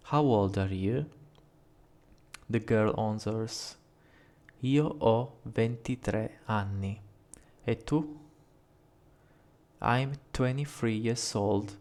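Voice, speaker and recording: Slow low-voiced Italian man teaching. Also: a little mellow. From Italy. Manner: measured, carefully spelled out, reads dialogue lines aloud. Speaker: language teacher. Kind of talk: slow scripted language lesson.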